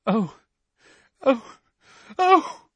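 A man's voice: extremely high pitch, very animated, very slow, breathy edge, no room sound, pitched above the performer's own voice, heavily acted. A man voicing a distraught, tearful middle-aged mother who sobs and stammers as she speaks. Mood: sobbing, stammering. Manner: sobbing, stammering speech. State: crying. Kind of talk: audiobook character voice.

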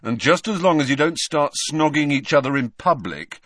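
A man's voice: fairly high pitch, expressive, normal speed, a bit bright, no room sound, studio quality, voice pushed up. A man voicing a grumpy teenage big brother in an audiobook, speaking grudgingly.

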